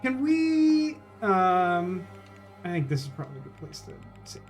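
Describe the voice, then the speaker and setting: High-pitched man rambling on a stream. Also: sing-song, unhurried, soft background music. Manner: rambling, emphatic, slangy. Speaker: game streamer. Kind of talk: casual live stream chat, game commentary.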